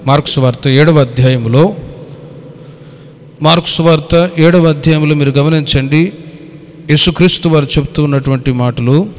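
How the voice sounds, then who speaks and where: Guy pastor teaching bible study, kinda high voice, repeats himself and asks questions, big echoey hall. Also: slight background noise. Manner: didactic, repeats phrases and puts questions to listeners. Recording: long hall reverb.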